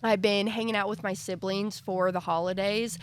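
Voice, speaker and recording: A woman's voice: mid-range voice, fairly even intonation, moderate pace, a little hiss or hum. A young woman hosting a podcast in a chatty, folksy way. She is congested and stuffy-nosed from allergies. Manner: chatty, folksy, says y'all often. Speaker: podcast host. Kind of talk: casual podcast talk to viewers. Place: screened-in patio at a house.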